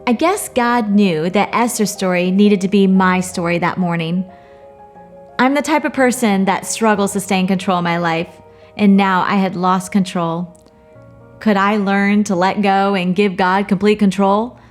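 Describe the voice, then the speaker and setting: A woman's voice: medium pitch, fairly even intonation, a little slow, soft background music. A woman teaching a Bible study, speaking in an earnest, personal way with touches of light humor.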